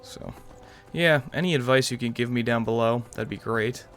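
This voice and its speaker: A man's voice: medium pitch, moderate pace, fairly bright tone. A young man giving casual let's-play commentary as a gaming video host, musing aloud in a rambling way over game music. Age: young adult.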